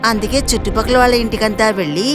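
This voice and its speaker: A woman voicing a concerned, hardworking mother in an animated moral story. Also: a little high, very fast, over music, natural voice. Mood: earnest.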